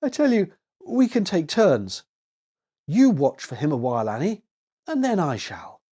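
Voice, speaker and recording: An eager, earnest little storybook boy, acted out by a man. The voice is lifted well above a grown man's usual talk and moves up and down in a lively way, childlike and full of wonder. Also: on the high side, a little slow, pitched above the performer's own voice, full character voice. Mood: sleepy, impatient.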